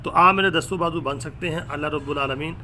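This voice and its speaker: A man's voice: fairly high pitch, fast talker, bright, forceful tone, slight background noise. A man delivering an earnest monologue to viewers as a religious vlogger, in an emphatic, preacherly manner.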